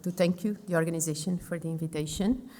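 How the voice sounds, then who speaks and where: A middle-aged Portuguese woman, a lung and intensive care doctor, giving a conference talk in English with a Portuguese accent, halting and restarting her words often. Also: fairly low pitch, steady tone, mellow. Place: large conference hall. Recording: long hall reverb.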